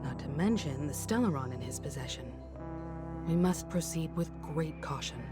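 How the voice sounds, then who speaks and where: A woman's voice: sits low, expressive, normal speed, over loud music. A woman voicing a poised, cautious, mature heroine in a video game. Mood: grave, composed.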